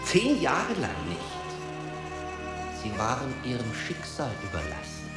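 An actor playing a brooding, solitary man in a literary radio drama, speaking in a halting, reflective way. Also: medium pitch, wildly swooping pitch, loud music behind the voice. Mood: hesitant, reflective. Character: brooding solitary man recalling grief and lost love.

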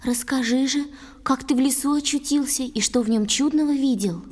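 A woman's voice: high-pitched, moderate pace, a little mellow, big-room sound. A woman voicing a playful, willful eighteen-year-old water-sprite girl in an audio fairy-tale play, childlike and impulsive. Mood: playful, curious. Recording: music under the voice.

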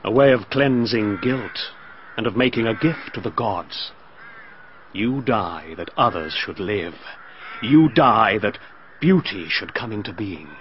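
A soft-spoken ritual killer. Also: male voice, lowish voice, lively intonation, a little slow. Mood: hypnotic, solemn. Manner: soft, hypnotic, solemn. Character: calm, menacing man performing a ritual sacrifice. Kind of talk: radio drama acting. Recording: dull lo-fi broadcast recording.

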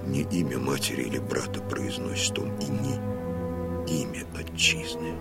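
An actor playing a fierce, grieving old Cossack colonel and father in a theatrical audio drama, declaiming with heavy pauses. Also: very deep voice, huge pitch swings, creaky, full character voice. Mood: hushed grief. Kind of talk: theatrical radio drama monologue. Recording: studio drama with loud music behind.

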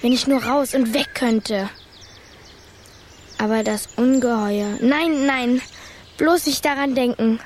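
A woman's voice: on the high side, takes it slowly, long pauses, slight background noise. A woman playing a sulky, frightened six-year-old girl who grumbles defiantly in a children's radio play. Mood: anxious, self-bolstering.

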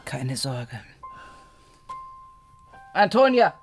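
A woman's voice: extremely low pitch, very animated, very slow, music in the background, exaggerated voice. An actress playing a stern, commanding woman with an imperious, severe manner in a radio drama. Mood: grave. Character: stern, commanding woman, guardian and feared death-bringer.

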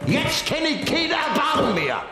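A man's voice: extremely high pitch, huge pitch swings, unhurried, heavily acted. An actor in a German radio drama playing a blustering, boozy Nazi party man with a Berlin accent, brash and colloquial. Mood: boastful, bullying.

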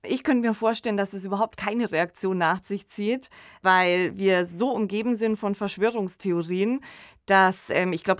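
A woman's voice: mid-range voice, dry recording, clean studio sound. A woman co-hosting a discussion podcast. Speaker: podcast co-host.

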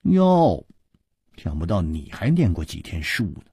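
A man voicing a haughty, sharp-tongued young princess in an audiobook, sneering and scolding. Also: sits low, wildly swooping pitch, measured pace, breathy edge, no room sound, clean studio sound, full character voice. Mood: scornful.

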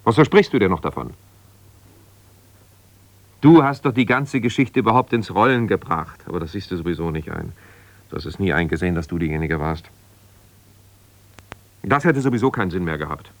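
An actor playing an embittered, self-righteous husband, a lawyer, reproachful and exasperated on one side of a phone call in a naturalistic radio play. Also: male voice, low-pitched, animated, a little fast, long pauses, no room sound. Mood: cool, reproachful. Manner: defensive, reproachful, exasperated, insistent.

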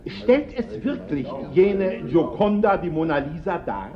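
An actor playing a flustered, pedantic official giving a formal, deliberate lecture in a German radio play. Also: male voice, sits high, very animated, dull audio, heavily acted. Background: crowd in a hall.

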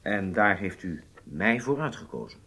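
A courteous secret agent in a radio play. His voice is low and husky, his manner polite, measured and modest. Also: very animated, bright, forceful tone, exaggerated voice. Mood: honoured, attentive. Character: polite, modest spy recruit, former army interpreter. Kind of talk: radio drama acting.

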